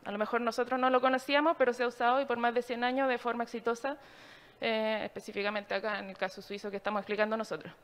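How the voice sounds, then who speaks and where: A woman giving a formal, explanatory slide presentation in a measured way. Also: a little high, flat delivery, fairly bright tone.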